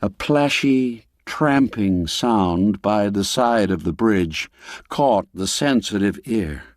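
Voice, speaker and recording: Slow, suspenseful male storyteller. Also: lowish voice, lively intonation, dry recording, studio quality. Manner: measured, suspenseful storytelling with formal literary phrasing. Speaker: audiobook narrator. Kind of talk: scripted dramatic narration of a classic gothic tale.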